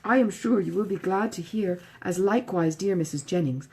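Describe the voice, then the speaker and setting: A woman's voice: fairly low pitch, normal speed, darkish tone, breathy edge, poor audio. A woman voicing an ingratiating, self-satisfied young woman's letter in an audiobook, effusive and earnest.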